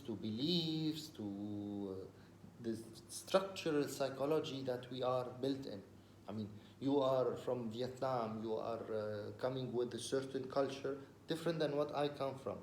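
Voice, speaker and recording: A man's voice: medium pitch, a little mellow, a little hiss or hum. Earnest Levantine man in a roomy space. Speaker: discussion partner explaining a recorded talk. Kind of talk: casual explanatory conversation.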